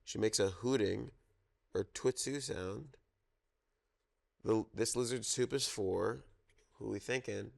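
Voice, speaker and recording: A man reading a children's picture book aloud, pausing to prompt listeners for guesses. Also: on the low side, creaky. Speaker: storybook reader at a children's story time.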